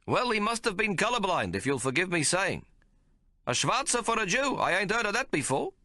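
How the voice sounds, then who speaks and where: A man's voice: very animated, fairly quick, pushed and bright, no room sound, studio quality, heavily acted. A man voicing a sly, haggling little male tailor with a whiny, pleading manner in an audiobook. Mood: wheedling. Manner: whiny, pleading, high-pitched. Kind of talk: audiobook character voice.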